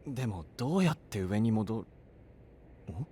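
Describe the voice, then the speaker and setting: A man voicing a blunt, brash young male knight apprentice in an anime voice drama, talking in a rough, casual way. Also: on the low side, animated, measured pace, dark tone, a little hiss or hum.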